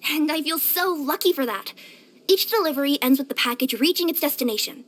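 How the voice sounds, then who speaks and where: A woman voicing a cheerful, eager young female cat yokai courier in a video game, with an expressive, cutesy delivery and little hesitant murmurs. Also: high voice, brisk, natural voice. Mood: warm, chatty. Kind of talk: scripted video game character voice lines.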